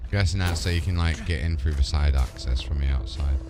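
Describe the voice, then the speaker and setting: A man's voice: very deep voice, a little fast. A British man giving casual, chatty gameplay commentary, thinking aloud in an informal way as a streamer. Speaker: video game streamer. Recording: game music behind the voice.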